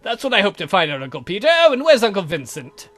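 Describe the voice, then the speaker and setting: A man's voice: high-pitched, wildly swooping pitch, quick pace, a bit bright, soft background music, exaggerated voice. A man voicing a sardonic young vampire count who is dry and irritable with his family. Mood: irritated, sardonic.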